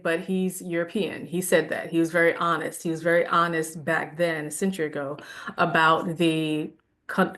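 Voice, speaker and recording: Woman giving a lively spoken lecture. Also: lowish voice. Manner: conversational, animated, laughs now and then. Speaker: lecturer teaching a class.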